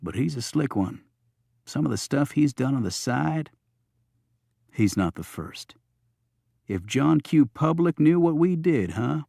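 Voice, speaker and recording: A man's voice: medium pitch, animated, a little slow, vocal fry, pauses often, mellow, no echo, studio quality. A man playing a wisecracking, weary Secret Service agent in an audiobook, joking in a colloquial way. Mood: low, confiding.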